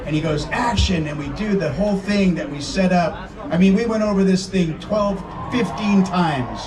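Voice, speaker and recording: A man in his fifties on a screening panel, telling lively stories to an audience with frequent laughs. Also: sits high, moderate pace, music in the background. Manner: animated, laughing storyteller. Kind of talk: storytelling to an audience. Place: screening event before a crowd. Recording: distant mic, crowd noise.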